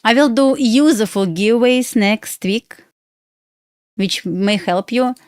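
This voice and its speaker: A woman's voice: a little high, slow pace, darkish tone, no echo, studio quality. Bubbly woman. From Belarus. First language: Russian. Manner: bubbly and giggly, with loose non-native grammar. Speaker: futures day trader streaming live. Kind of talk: casual live stream chat.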